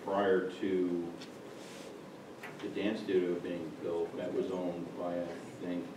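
A man's voice: sits low, little pitch movement, takes it slowly. A man on a village board, insistent and rambling as he argues a point at a public meeting. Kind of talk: municipal meeting discussion. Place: meeting room, heard from afar. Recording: echoey room, distant mic, noisy.

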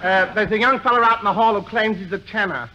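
An actor playing a dim, gruff bodyguard in a 1940 radio comedy, talking in tough-guy slang. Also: high voice, fast talker, sharp tone, highs cut off. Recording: old radio broadcast with hiss.

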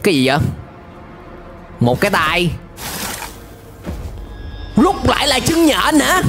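A man's voice: high-pitched, huge pitch swings, slow. A young man hosting a reaction video, commenting casually and exclaiming at the cartoon he watches. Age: young adult. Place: indoor gaming room. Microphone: close microphone on a boom arm, headset worn. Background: cartoon soundtrack music.

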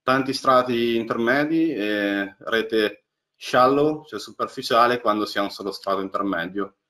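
A man who explains slides in an online lecture. His voice sits at an ordinary middle pitch, and he talks in halting bursts, with many fillers and restarts. The sound is a plain webcam mic in a small room. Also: sharp tone. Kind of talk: technical lecture on deep learning. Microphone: webcam on a video call.

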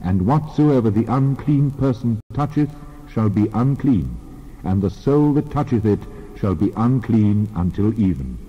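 A man reading scripture aloud in a slow, solemn and measured dramatized style. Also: fairly low pitch, lively intonation, in a large hall, dull audio. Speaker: Bible reader.

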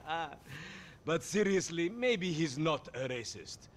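Oily, animated car dealer. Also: male voice, a little high, slow. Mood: sly, goading. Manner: effusive, formal phrasing, melodramatic. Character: oily, theatrical middle-aged male car dealer. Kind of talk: video game cutscene acting.

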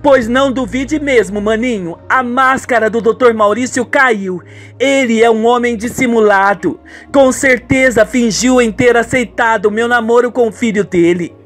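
A man's voice: extremely high pitch, moderate pace, fairly bright tone, soft background music, pitched above the performer's own voice. A man voicing a melodramatic, exclamatory young woman in a radio soap. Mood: indignant. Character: young woman, tender, scheming or gossipy, melodramatic.

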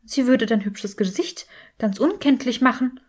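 A woman's voice: a little high, a little slow, husky. A woman voicing a gleeful, huffy, gossipy medieval skull in a storybook, with theatrical trilling. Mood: gleefully thrilled.

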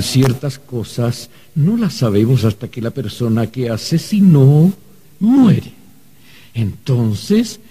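Fussy, husky archangel clerk. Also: male voice, medium pitch, dark tone, exaggerated voice. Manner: flustered, stammering, theatrical. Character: fussy, anxious male archangel clerk of the afterlife. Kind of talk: Spanish-language radio drama acting.

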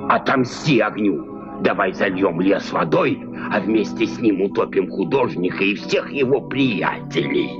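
A man's voice: on the low side, lively intonation, measured pace. A man voicing a tyrannical, hot-tempered cactus king in a dubbed cartoon, pompous and menacing in a theatrical way. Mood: scheming. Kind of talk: dubbed animated film acting. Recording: dull old film soundtrack with loud music.

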